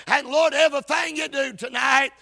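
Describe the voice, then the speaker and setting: A man's voice: very high-pitched, fairly even intonation, moderate pace, creaky, sharp tone. A man preaching a fervent evangelical sermon in a church, with an emphatic, declamatory delivery in short bursts. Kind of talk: fervent evangelical sermon with scripture reading and prayer. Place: church service.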